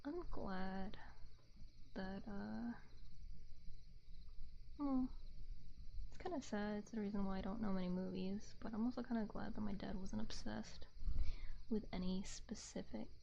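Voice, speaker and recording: A woman livestream host chatting casually in a gentle, soft ASMR voice that often slips toward a whisper, close on a binaural microphone. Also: mid-range voice, unhurried, gravelly, long pauses, muffled recording, slight background noise. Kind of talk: soft-spoken ASMR chat with viewers.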